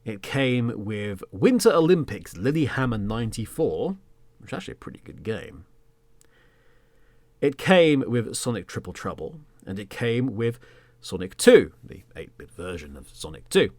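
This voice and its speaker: Theatrical, deep-voiced English man telling a story. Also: big pitch swings, normal speed, dry recording. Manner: theatrical, with emphatic words and trailing hushed phrases. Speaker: podcast host. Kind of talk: dramatic third-person storytelling monologue.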